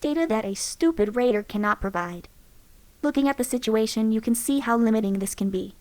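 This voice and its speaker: Game robot companion, female, cocky and sarcastic, cutting delivery, somewhat high voice, played by a woman. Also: a little fast, a little mellow, natural voice.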